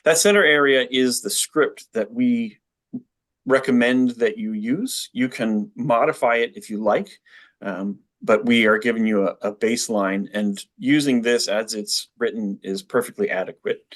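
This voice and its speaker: A man presenting a training webinar, explaining software step by step in a methodical way. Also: mid-range voice, a little slow.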